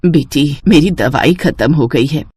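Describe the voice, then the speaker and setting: A woman voicing an ailing, gentle mother in a cartoon story, with a soft and motherly manner. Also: low-pitched, unhurried, breathy edge, dry recording, voice pushed down, full character voice. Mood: weary, gentle. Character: ailing, gentle, supportive middle-aged mother.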